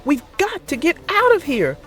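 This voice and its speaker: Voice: high-pitched, huge pitch swings, normal speed, a bit bright, faint music behind, voice pushed up, heavily acted. A narrator voicing a frightened young boy in a children's storybook. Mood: panicked.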